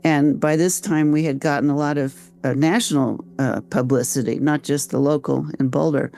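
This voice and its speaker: An older woman with an American accent, telling her own story conversationally as an interview guest, reflective and halting, repeating phrases as she goes. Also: low voice, fairly even intonation, vocal fry, mellow, faint music behind. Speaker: interview guest, author and volunteer researcher.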